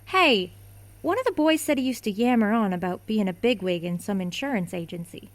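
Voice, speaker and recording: A woman's voice: medium pitch, lively intonation, a little fast, natural voice. A woman playing a feisty, chatty cook who gossips about the local men, in an amateur radio play. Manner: chatty, spirited.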